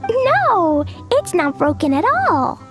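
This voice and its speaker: A woman voicing an innocent, mischievous, excitable little girl in a video game, childlike and earnest. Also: very high voice, slow, heavily acted. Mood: innocent, matter-of-fact. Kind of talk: English video game voice acting. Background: game music.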